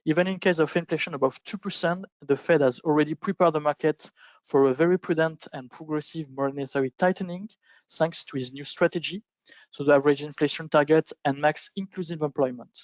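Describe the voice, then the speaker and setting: A man speaking as an investment panelist, giving formal, measured, prepared-sounding explanations on a conference call. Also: on the high side, steady tone, no echo, poor audio. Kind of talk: prepared roundtable commentary on markets.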